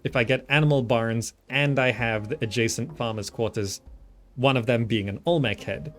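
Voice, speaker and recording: A man's voice: mid-range voice, normal speed, soft background music. A man giving casual gameplay commentary, thinking aloud and repeating himself as he works things out. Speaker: gamer, channel host.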